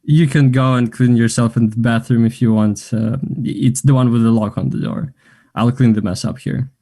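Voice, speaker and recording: Actor playing a confident, mellow, flirty man. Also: medium pitch, steady tone, moderate pace, close to an everyday voice. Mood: easygoing, reassuring. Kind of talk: table read of a stage play.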